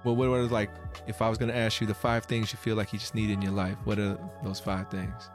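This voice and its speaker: Thirties male host, lowish voice, relaxed and encouraging, faint music under. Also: brisk. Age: 30s. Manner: easygoing, affirming, asks open questions. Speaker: podcast host. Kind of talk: casual interview chat.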